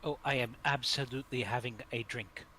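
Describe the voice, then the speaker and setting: A man playing a courteous, eloquent gentleman traveler in a tabletop game, speaking formally and wordily. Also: mid-range voice, steady tone, fairly quick. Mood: eager. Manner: formal, polite, wordy. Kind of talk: tabletop role-play.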